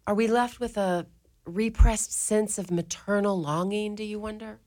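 A wry, motherly mother superior, played by a woman in a community radio play. Her speech has a gentle lilt, teasing one moment and firm with the children the next. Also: mid-range voice, steady tone, moderate pace, close to an everyday voice. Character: Irish mother superior of a convent orphanage, warm, wry.

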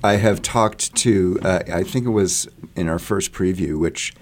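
A man's voice: low voice, a little slow, slight background noise. A man explaining theater work in a conversational interview, thinking aloud and often rephrasing mid-sentence. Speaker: theater artist interviewed about a stage production.

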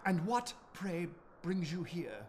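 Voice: medium pitch, sing-song, slow pace, noisy background. An actor playing a frightened, stubborn old miser in a radio play, querulous and theatrical. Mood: wary questioning.

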